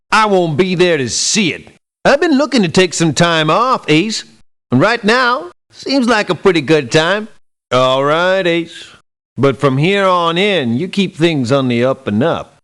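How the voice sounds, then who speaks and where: A streetwise male mercenary from a video game, talks in a fairly high voice with wide swings in pitch. He takes his time over each blunt, sardonic line, sounding thoroughly disgruntled. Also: fairly bright tone, heavily acted. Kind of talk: video game character lines.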